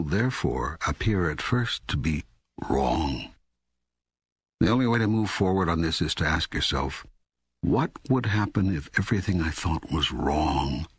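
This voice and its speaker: An older man playing a patient, all-knowing God in an audiobook dialogue, speaking in a deliberate, emphatic, teaching manner. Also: very deep voice, fairly bright tone, breathy edge, no room sound, studio quality, heavily acted. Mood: firm, instructive.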